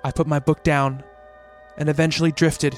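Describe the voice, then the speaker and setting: Man performing radio play doctor, high male pitch, measured formal storytelling, concerned, skeptical, faint music behind. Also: little pitch movement, natural voice. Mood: measured, reflective. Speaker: narrator and lead actor. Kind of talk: radio play narration and dialogue.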